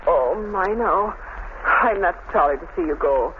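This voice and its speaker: A woman's voice: fairly low pitch, animated, a little slow. An actress playing a blunt, easily offended working-class landlady with a chatty manner in a 1930s radio drama. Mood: chatty, huffy. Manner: chatty, indignant. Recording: tinny, noisy 1930s radio recording.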